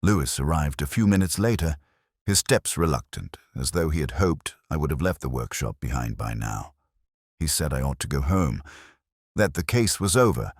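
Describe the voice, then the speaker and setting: A man playing a melancholy older detective inspector, narrating case notes in a measured, reflective, literary way. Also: rumbling low, expressive, breathy edge, dry recording, clean studio sound, full character voice. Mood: solemn, brooding. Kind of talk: scripted first-person literary narration.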